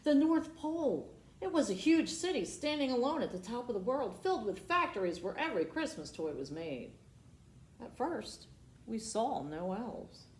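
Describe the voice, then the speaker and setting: A woman hosting a storytime, reading aloud expressively for children and dropping in asides, moving between hushed and emphatic delivery. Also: fairly high pitch, big pitch swings, moderate pace. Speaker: library storytime host. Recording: roomy echo with faint background noise.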